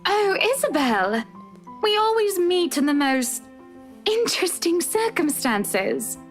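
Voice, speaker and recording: A woman's voice: extremely high pitch, very animated, slow, soft background music, pitched above the performer's own voice, heavily acted. A woman voicing a refined, amused lady in a visual novel.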